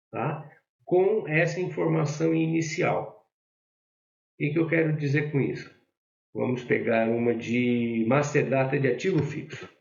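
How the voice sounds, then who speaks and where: A man with a Brazilian Portuguese accent teaching a software tutorial, explaining step by step and often checking in with the listener. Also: fairly high pitch, vocal fry. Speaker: software instructor. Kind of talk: screen-share software tutorial. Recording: echoey, dull lo-fi audio.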